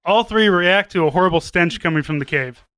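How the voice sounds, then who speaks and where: A male podcast narrator reading a comic script. His voice is high, bright and clean, with very little room sound around it. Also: brisk, clean tone, dry recording.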